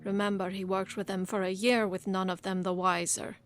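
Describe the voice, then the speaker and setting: A woman's voice: medium pitch, flat delivery, normal speed, no echo, close to an everyday voice. Woman reads middle-aged male dwarf killer; brash, sneering, raises the volume to needle people. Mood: irritable, mocking. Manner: loud, taunting, snapping. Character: snappish smirking male dwarf assassin, early middle age.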